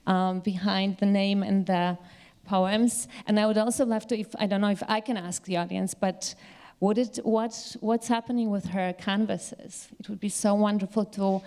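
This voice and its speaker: A woman's voice: medium pitch, fairly even intonation, normal speed, dark tone. A woman panelist speaking spontaneously to an audience in an enthusiastic, appreciative way.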